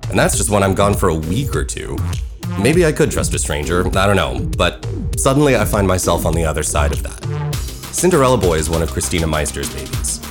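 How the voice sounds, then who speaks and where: A man hosting his own podcast, talking candidly and quickly in a soothing radio voice. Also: low-pitched, very animated, music in the background. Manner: fast-talking, conversational, candid. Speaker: podcast host. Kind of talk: personal podcast narration.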